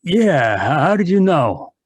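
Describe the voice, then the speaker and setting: Very slow, hesitant gentleman client. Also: on the high side, big pitch swings, slightly rough, full character voice. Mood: uneasy. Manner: halting, stammering. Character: hesitant, uneasy well-off male client. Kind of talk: audio drama acting.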